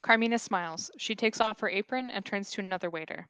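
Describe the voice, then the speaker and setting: A woman's voice: medium pitch, fast, a bit bright, clear, resonant tone, dry recording. A woman narrating scripted stage directions at a comedy table read.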